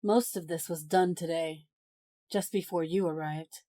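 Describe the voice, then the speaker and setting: A woman's voice: lowish voice, steady tone, unhurried, dark tone, close to an everyday voice. A woman playing the grave, resolute mayor of an embattled city in an audio drama, earnest and firm. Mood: grave resolve.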